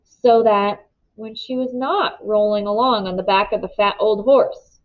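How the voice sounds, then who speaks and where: A woman reading a children's novel aloud in expressive storybook narration, on a slightly dull recording. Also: mid-range voice, unhurried.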